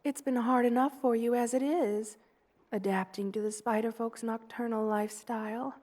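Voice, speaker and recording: A woman voicing a gentle, loving spider queen in an ASMR roleplay, with a tender, soothing and gently formal way of speaking. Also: on the high side, steady tone, normal speed, lightly acted. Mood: gentle concern.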